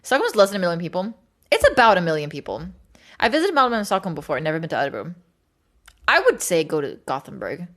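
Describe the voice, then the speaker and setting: A woman's voice: on the low side, very animated, fast talker, fairly bright tone. A woman from Sweden chatting casually and informally to livestream viewers, rambling with plenty of filler words and some swearing. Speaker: livestream host.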